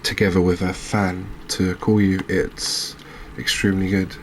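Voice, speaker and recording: A man with an English accent giving soft-spoken ASMR game commentary in a hushed, unhurried, hesitant manner. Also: deep voice, little pitch movement, a little hiss or hum. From England. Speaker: gaming video host.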